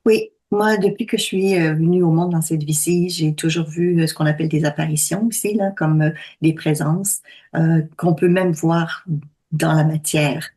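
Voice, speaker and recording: Woman in her sixties explaining calmly. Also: low voice, moderate pace. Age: 60s. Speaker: medium. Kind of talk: conversational talk.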